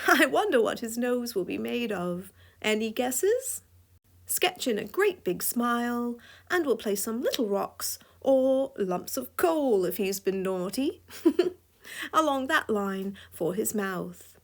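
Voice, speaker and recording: A woman's voice: fairly high pitch, sing-song, slow pace, vocal fry. A woman with a scratchy voice giving a drawing tutorial voice-over, playful and chuckling often. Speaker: drawing instructor.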